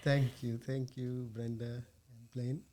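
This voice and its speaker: A man's voice: mid-range voice, little pitch movement, takes it slowly, warm tone. A man from Bangladesh, a playwright guest, giving brief polite replies.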